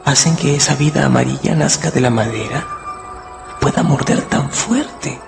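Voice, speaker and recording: An actor playing a naive, bewildered young wolf cub with plaintive wonder in a radio drama. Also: male voice, mid-range voice, normal speed, creaky, over music. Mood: awed.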